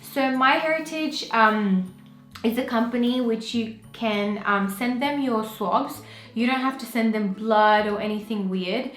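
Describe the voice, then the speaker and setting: A woman's voice: a little high, unhurried. A young woman from Pakistan vlogging casually to camera, chatty and full of fillers like "um" and "you know". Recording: echoey room with faint music.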